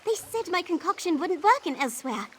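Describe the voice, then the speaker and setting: High-pitched excitable potion brewer. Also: female voice, a little fast, slight background noise, exaggerated voice. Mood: triumphant. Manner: theatrical, effusive, boastful. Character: excitable eccentric potion brewer, dreamy believer in whales. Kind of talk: video game character dialogue.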